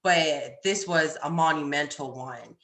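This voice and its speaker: A woman's voice: sits low, unhurried, fairly bright tone. A woman with an American accent speaking as a podcast guest in casual, candid conversation, with a roomy, echoey sound. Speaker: podcast guest, army staff sergeant.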